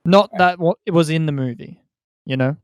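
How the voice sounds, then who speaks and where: A man with a fairly high voice talks casually as a podcast co-host. The sound is dry and close, and his delivery is loose, conversational banter among friends. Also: normal speed, smooth, dry recording.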